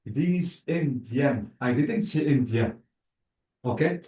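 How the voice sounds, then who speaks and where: A man teaching an English class online, speaking slowly and patiently and praising the student often. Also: medium pitch, lively intonation, mellow. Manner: slow, patient, spells out word endings, praises with "bravo". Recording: echoey, distant room sound.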